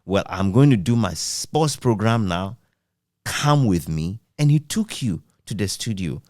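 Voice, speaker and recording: A man conducting a reflective interview, phrasing his questions in a measured, deliberate way. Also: fairly low pitch, lively intonation, darkish tone, no echo, studio quality. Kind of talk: reflective radio-history interview.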